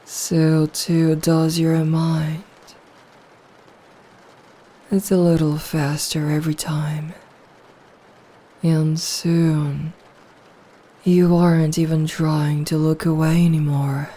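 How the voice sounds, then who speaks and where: A woman playing a seductive, possessive female vampire in an intimate ASMR roleplay, speaking softly and breathily in a hushed, teasing, coaxing way. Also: low-pitched, flat delivery, takes it slowly, long pauses. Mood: hypnotic, coaxing.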